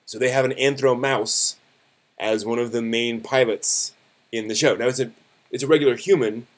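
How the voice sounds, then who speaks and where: A man with a mid-pitched voice hosts a live stream news segment. He talks casually and conversationally, as if straight to viewers. His delivery is a little halting, and he often backs up to correct his wording. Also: fairly even intonation.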